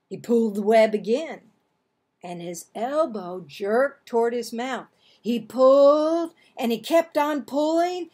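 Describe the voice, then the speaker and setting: Storyteller, woman in her 60s: husky voice, unhurried pace, expressive children's read-aloud. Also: high voice. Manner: expressive, acts out dialogue and action. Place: small home room, indoors.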